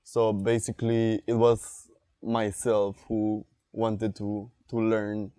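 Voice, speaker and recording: Male, smooth and dry-sounding, unhurried interviewee speaking in short halting phrases. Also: lowish voice, completely flat delivery, warm tone, no echo. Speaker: musician interviewee. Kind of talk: documentary interview recollection.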